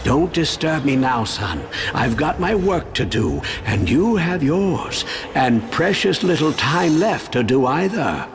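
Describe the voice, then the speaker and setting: An actor playing an eccentric old gravedigger, cryptic and amused, in a dramatic video game style. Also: male voice, fairly high pitch, very animated, takes it slowly, gravelly, noisy background, heavily acted. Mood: cryptic, knowing. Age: older. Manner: cryptic, chuckling, folksy.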